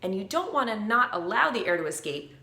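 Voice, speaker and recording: Bright-voiced woman coaching singing. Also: medium pitch, big pitch swings, fairly quick, echoey room. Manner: clear, upbeat teaching with sung demonstrations. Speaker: vocal coach. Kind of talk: instructional video.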